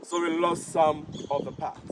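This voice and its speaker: A man from Ghana with a Ghanaian accent, explaining history as a tour guide in a measured way. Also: fairly high pitch, little pitch movement. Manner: measured, explanatory, rising emphasis on key points. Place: outdoor memorial park by a city road. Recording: outdoor traffic noise.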